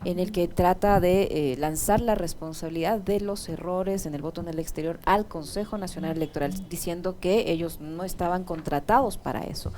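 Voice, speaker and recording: A woman's voice: lowish voice, brisk, few pauses, warm tone, a little hiss or hum. A woman radio interviewer asking pressing, long-winded questions.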